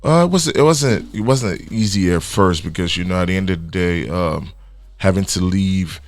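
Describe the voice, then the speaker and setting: A man's voice: sits low, moderate pace, dry recording. A Nigerian man with a Nigerian accent, talking casually as a guest musician and often restarting and repeating words. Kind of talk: casual interview chat. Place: radio studio.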